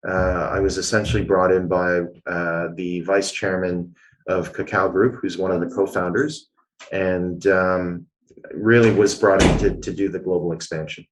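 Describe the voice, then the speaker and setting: A man's voice: sits low, fairly even intonation, measured pace, slight background noise, rough recording. A man from Canada, a guest answering interview questions in an explanatory way, with many filler sounds. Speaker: guest, blockchain executive.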